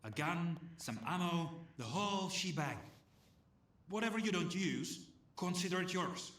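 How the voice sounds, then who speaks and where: An actor playing a friendly, slangy scavenger in a video game, speaking casually with some hesitation. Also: male voice, high-pitched, lively intonation, a little slow, a bit bright, echoey room. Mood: relieved, eager. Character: chatty scavenger stalker man asking a stranger for help. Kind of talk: video game dialogue acting.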